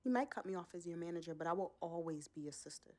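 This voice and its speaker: A woman's voice: on the low side, animated, fast, dark tone, lightly acted. A woman playing a young sports manager, a protective, blunt twin sister with a no-nonsense way of talking in a game drama. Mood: resolute. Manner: frank, no-nonsense. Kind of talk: dramatic cutscene dialogue.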